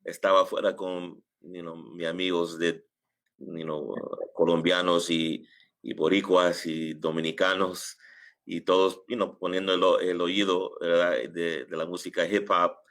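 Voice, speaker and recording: A middle-aged man from New York telling his story as an interview guest, halting often and dropping filler phrases in. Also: low-pitched, flat delivery, fairly bright tone. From United States, New York. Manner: halting, sprinkles English filler phrases into Spanish. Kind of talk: casual personal storytelling in an interview.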